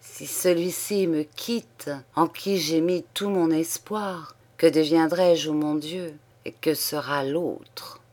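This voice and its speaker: A woman's voice: on the low side, unhurried, close to an everyday voice. A woman voicing a proud, hot-tempered old male aristocrat who speaks with haughty irony and bursts into anger, read in an audiobook. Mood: weary, anguished. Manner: haughty and ironic, flaring into shouts.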